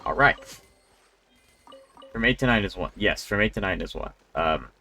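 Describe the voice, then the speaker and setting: Male game streamer, low gravelly voice, lively swings in pitch, casual commentary, thinking aloud, faint game music behind. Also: moderate pace. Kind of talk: casual live gameplay commentary. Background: faint video game music.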